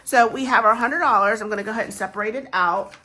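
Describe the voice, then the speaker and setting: A woman's voice: fairly high pitch, fast talker, sharp tone. A woman, stuffy and congested from a chest cold, chatting casually to camera in a rambling, self-deprecating way while stuffing savings envelopes. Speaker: savings challenge vlogger.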